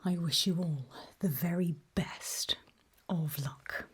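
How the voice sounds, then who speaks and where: A woman voicing a calm, ominous gatekeeper in a hushed, breathy ASMR roleplay, speaking mostly in deliberate whispers with pauses. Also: on the low side, full character voice. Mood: grave, hushed. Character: calm, ominous female gatekeeper briefing travelers. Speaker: ASMR performer.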